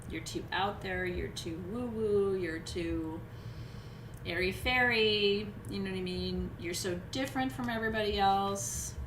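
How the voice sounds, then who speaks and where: Hoarse woman, spiritual teacher, chatty live talk with lots of ums, roomy echo, steady background noise. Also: medium pitch, measured pace. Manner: chatty, loose, many "um"s and restarts. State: hoarse, voice wearing out. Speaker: spiritual teacher reading astrology.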